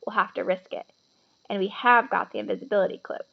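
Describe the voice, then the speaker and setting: A woman's voice: medium pitch, fairly even intonation, fast talker, gravelly, highs cut off. A woman voicing an earnest, determined schoolboy in a storybook, speaking plainly and urgently.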